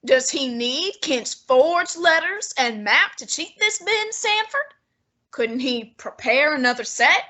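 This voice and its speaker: Girl doing a snooty bossy detective guy, high and bright, clipped and dismissive. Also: animated, slow pace, full character voice. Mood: scornful. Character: pompous, imperious middle-aged male detective. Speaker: high school drama student. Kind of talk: amateur radio play acting.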